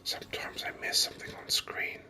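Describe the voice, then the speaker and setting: A gaming host whispering ASMR commentary very close to the microphone in a rambling, hesitant way. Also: brisk. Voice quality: breathy unvoiced whisper. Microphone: microphone very close to the mouth. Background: voice-over on game screen capture.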